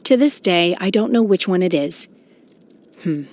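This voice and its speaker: A solemn young warrior woman raised apart from the world for a fantasy game. Her voice sits on the low side for a woman. She speaks formally and with restraint, aloof and detached, with a quiet melancholy underneath. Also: lively intonation, unhurried. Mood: somber, wistful. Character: stoic, solemn young adepti-trained exorcist woman, aloof yet melancholy. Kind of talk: scripted video game character voice lines.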